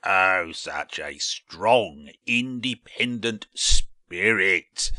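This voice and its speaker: Man playing a slow, oily, sly rook. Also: low voice, animated, pushed and bright, no echo, studio quality, full character voice. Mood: oily flattery. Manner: slow, oily, drawn-out courtesy. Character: sly, flattering old-fashioned male rook, large predatory bird.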